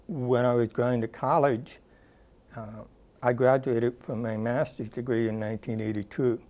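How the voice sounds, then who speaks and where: Mellow-voiced older man recalling his career. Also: medium pitch, flat delivery. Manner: thoughtful, unhurried, many ums and uhs. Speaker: interviewee, rehabilitation counselor. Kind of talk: oral history interview. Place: dark studio, indoors. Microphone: lapel mic.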